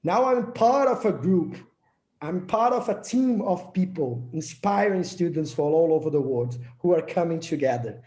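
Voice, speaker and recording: A man's voice: on the high side, lively intonation, normal speed, dark tone, reverberant. A young man from Brazil with a Brazilian Portuguese accent, giving an earnest prepared presentation as project leader, with small slips and restarts.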